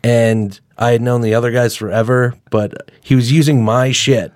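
A man who plays bass, telling playful anecdotes in a casual chat. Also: fairly even intonation, moderate pace, a little mellow, no echo, studio quality. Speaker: bass player guest. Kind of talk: casual storytelling.

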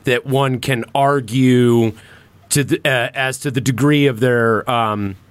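A man's voice: medium pitch, fairly even intonation, slow pace, smooth, no echo. A man hosts a loose, informal podcast in a mid-range voice. He talks in a bantering, sweary way with plenty of filler "like". The sound is dry, with very little room around him. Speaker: podcast host. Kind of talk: casual podcast chat.

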